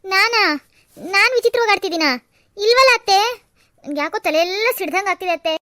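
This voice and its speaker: A woman's voice: very high voice, lively intonation, a little fast, pushed and bright, no echo, studio quality, full character voice. Woman voicing a dazed young daughter-in-law. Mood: confused. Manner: dazed, pleading.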